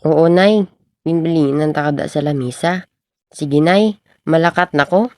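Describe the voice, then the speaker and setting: A woman's voice: low-pitched, unhurried, mellow, dry recording, studio quality. A young woman playing an earnest, lovestruck schoolboy in an amateur radio drama. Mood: smitten. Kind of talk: amateur radio drama acting in Filipino regional language.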